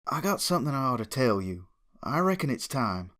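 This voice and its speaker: A man's voice: mid-range voice, big pitch swings, fairly quick, fairly bright tone. A man plays a serious farm mare from a pony story with a drawl. She is direct, folksy and honest. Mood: grave. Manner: plain-spoken, direct, folksy.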